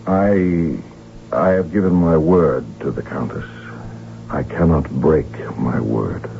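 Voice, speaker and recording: An actor playing a proud, stubborn royal heir in a radio drama, formal, earnest and insistent. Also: male voice, a bass voice, takes it slowly, gravelly, heavily acted. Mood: deferential, earnest. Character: proud archduke heir, stubborn, intense, lovestruck man. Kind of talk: old-time radio drama acting. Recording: tinny narrow-band radio drama, hissy.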